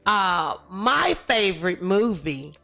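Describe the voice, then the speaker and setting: Slow-paced, bright-voiced woman host. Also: mid-range voice, very animated, gravelly. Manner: halting, hesitant questioning. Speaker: talk show host. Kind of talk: casual interview chat.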